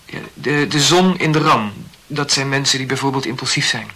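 A man's voice: mid-range voice, moderate pace, pushed and bright. An actor playing an awkward, earnest young man who talks hesitantly and corrects himself in a radio play. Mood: self-conscious.

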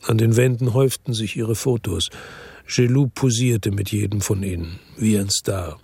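A hard-boiled male investigator telling his own story in a radio play. The voice is low, gravelly and husky. He keeps it terse and measured, recorded very dry and close. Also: fairly even intonation, a little mellow, no echo, heavily acted. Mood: measured, tense. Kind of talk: scripted first-person radio drama narration. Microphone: close dry studio microphone.

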